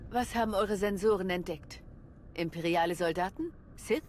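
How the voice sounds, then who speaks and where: A woman's voice: lowish voice, normal speed, slight background noise, lightly acted. A woman playing a resolute Jedi commander in a German game dub, composed and authoritative.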